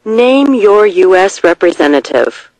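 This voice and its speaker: A narrator reading scripted test questions and short answers in a measured, deliberate way. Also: mid-range voice, sing-song, a little mellow, no room sound, low-quality recording.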